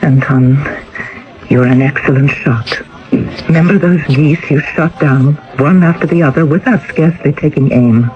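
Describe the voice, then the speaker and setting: An actress playing a spoiled, discontented, scheming wife in a radio drama, with an imperious, theatrical manner. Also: female voice, sits low, moderate pace, soft background music, close to an everyday voice. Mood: scheming, seductive. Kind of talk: 1980 radio drama acting. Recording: muffled old radio recording.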